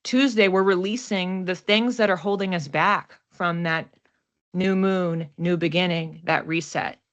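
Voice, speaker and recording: A woman's voice: fairly low pitch, steady tone, a little slow. A woman giving a casual, unscripted card and astrology reading, thinking aloud in a reflective, conversational way. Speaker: oracle card reader and astrology host.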